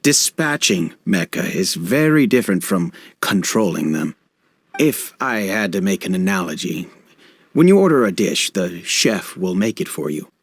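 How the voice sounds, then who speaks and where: Casting: affable, easygoing guard officer in a game, slightly deep voice, highly expressive delivery, wry and chatty. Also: male voice, normal speed, husky, no room sound, exaggerated voice. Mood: matter-of-fact, explaining. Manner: casual, chatty, jokingly self-deprecating. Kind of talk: video game dialogue acting.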